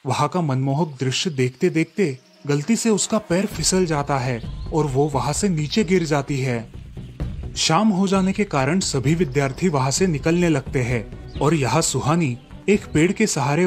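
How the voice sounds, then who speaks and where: A man narrating a cartoon story with a steady storytelling delivery. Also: a little high, moderate pace, faint music behind.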